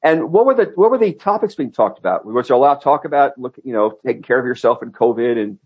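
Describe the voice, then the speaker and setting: A man's voice: mid-range voice, animated, quick pace, breathy edge, highs cut off. A man co-hosting a talk program, asking questions casually and often restarting them. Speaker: co-host. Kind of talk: casual interviewing.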